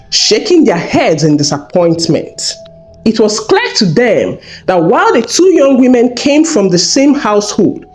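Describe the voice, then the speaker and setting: A woman storyteller narrating a scripted folktale in a measured, dramatic, expressive way with deliberate phrasing. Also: mid-range voice. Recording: voice over background music. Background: music.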